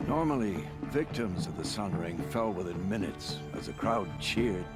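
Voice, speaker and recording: A man playing an older, self-satisfied veteran hunter in a video game, speaking in a theatrical, measured, pompous way. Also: sits low, expressive, over loud music. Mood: solemn, haunted. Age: middle-aged or older. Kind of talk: video game dramatic acting.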